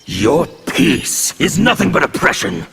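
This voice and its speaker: An actor playing a menacing male anarchist warrior who speaks with fervent zeal and bursts into shouts. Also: a little high, big pitch swings, measured pace, heavy background noise, full character voice. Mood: scornful. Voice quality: gravelly, intimidating.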